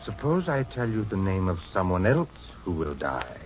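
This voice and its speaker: A man's voice: sits low, very animated, normal speed, noisy background. An actor playing a gaunt, sinister man with formal, courteous, insistent speech in an old-time radio drama. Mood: coolly insistent. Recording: muffled vintage radio recording with music.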